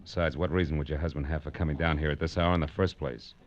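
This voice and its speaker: A hard-boiled American private eye with a low voice and a rapid clip. He is dry, slangy and sardonic, in classic 1940s radio detective style, on a dull vintage recording. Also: steady tone, slight background noise. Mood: cool, probing. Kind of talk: 1940s radio detective drama acting with first-person narration.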